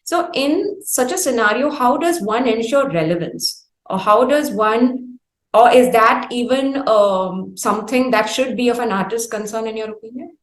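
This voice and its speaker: A woman with an Indian accent interviewing at length, phrasing her questions in a wordy, halting, reflective way. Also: a little high, animated. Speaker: interviewer, host. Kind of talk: conversational long-form music interview. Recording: echoey, roomy sound.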